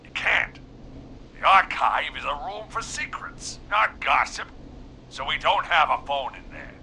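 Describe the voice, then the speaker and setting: A man voicing an excitable, nervous newspaper editor in a video game, with a fussy, agitated, blustering manner. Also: fairly high pitch, unhurried, gravelly, slight background noise, full character voice. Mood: agitated, scheming.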